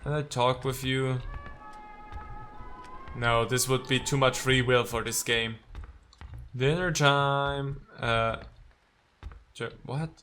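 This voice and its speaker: A man's voice: mid-range voice, steady tone, takes it slowly, pauses often, bright, forceful tone, soft background music. A man doing let's play commentary, reading game text aloud and reacting with sarcasm and exasperated swearing. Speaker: let's player.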